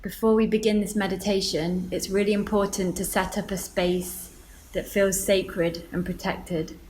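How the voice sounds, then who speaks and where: A woman leading a guided meditation, gently giving instructions with deliberate pauses. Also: mid-range voice, little pitch movement, slight background noise, rough recording.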